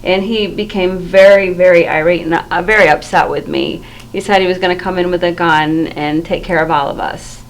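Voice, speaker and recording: A woman's voice: on the low side, steady tone, normal speed, natural voice, low-quality recording. A woman playing an earnest, flustered medical office staffer in a training role-play, speaking politely with some hesitation. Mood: worried.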